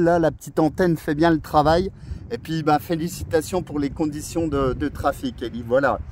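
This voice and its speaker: A man with a fairly high voice makes a casual radio contact from outdoors. He is friendly and uses operator jargon, with faint noise around him. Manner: friendly, spells words in radio alphabet. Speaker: portable CB radio operator and YouTuber. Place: outdoors among pine trees on an island.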